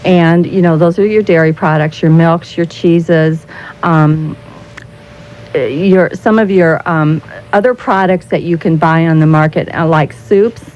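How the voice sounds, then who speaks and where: A woman's voice: sits low, fairly even intonation, unhurried, darkish tone. A woman giving practical, instructive explanations as the guest spokesperson in an informal radio interview. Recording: dull, noisy old radio recording.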